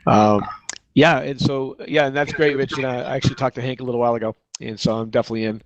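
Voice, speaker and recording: A man's voice: mid-range voice, steady tone, fast, dull audio. A man with a Boston accent, a real estate agent speaking earnestly at a conference session, repeating words for emphasis. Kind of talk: conference stage talk.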